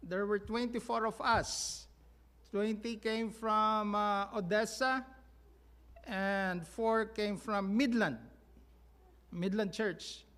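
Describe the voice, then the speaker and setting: A man with a Filipino accent giving a mission trip report to his church, halting with many fillers and sounding sluggish from jet lag. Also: high-pitched, steady tone, a bit bright. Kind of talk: church announcement talk. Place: church sanctuary.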